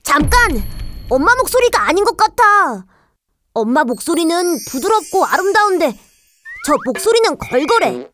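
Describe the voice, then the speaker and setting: A woman voicing a small, bright young goat in a children's fairy tale, childlike and emphatic. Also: very high-pitched, sing-song, moderate pace, a little hiss or hum, exaggerated voice. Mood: alarmed, defiant. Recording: faint music behind the voice.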